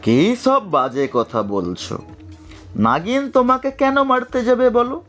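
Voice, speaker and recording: A man's voice: very high-pitched, wildly swooping pitch, measured pace, a bit bright, soft background music, heavily acted. A man voicing an authoritative, sceptical king in a children's cartoon story, with a commanding, questioning manner. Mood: puzzled, dismissive. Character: male village king, authoritative, helpful but sceptical.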